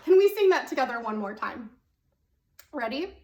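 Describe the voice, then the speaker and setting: A woman's voice: high-pitched, sing-song, normal speed, a little mellow, lo-fi sound. A woman telling a story to preschoolers as a children's librarian, in a sing-song, child-directed way with frequent questions. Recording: roomy echo.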